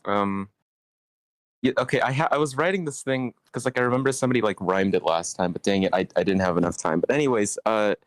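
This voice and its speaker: A man's voice: lowish voice, fast talker, no room sound. A man giving casual, slangy feedback in an online meeting, rambling and stammering as he goes. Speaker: participant giving script feedback.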